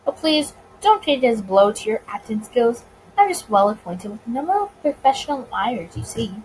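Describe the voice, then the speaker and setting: Woman reading as a smug, lively 1920s gangster. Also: a little high, normal speed, slight background noise, poor audio. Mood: smugly polite. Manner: courteous, wordy, self-assured. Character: suave, smug, teasing male gangster bootlegger of 1920s America.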